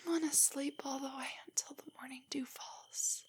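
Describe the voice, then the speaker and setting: A young woman playing a nervous, nerdy, affectionate girlfriend in an intimate roleplay audio, speaking softly and breathily in a giggly, rambling way. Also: high voice, fairly even intonation, normal speed, close to an everyday voice. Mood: sleepy, affectionate. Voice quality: breathy, often near a whisper. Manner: rambling, giggly, hesitant, explaining trivia. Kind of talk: roleplay audio, intimate soft monologue to a listener.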